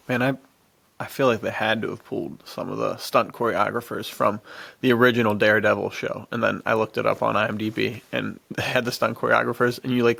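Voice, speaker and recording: A man with a heavily creaky, gravel-edged voice talks as a podcast co-host in a dry, close recording. His delivery is casual and rambling, full of enthusiasm for the subject. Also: medium pitch, fairly bright tone, no room sound. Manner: enthusiastic, rambling, chuckling often. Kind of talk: casual chat about action movies.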